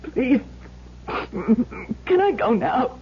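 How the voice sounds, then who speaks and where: An actress playing a shaken wife in a 1970s radio drama, halting and hesitant, with a husky, breathy voice. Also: female voice, on the high side, sing-song, a little hiss or hum, heavily acted. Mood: distraught. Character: shaken, vengeful young wife giving false testimony. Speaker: actor. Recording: dull old radio recording.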